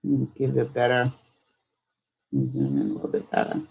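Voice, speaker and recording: A woman hosting a live spirituality stream, chatting casually in an unhurried, rambling way. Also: a bass voice, big pitch swings, creaky, a little mellow, slightly rough, dull audio. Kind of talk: casual livestream chat.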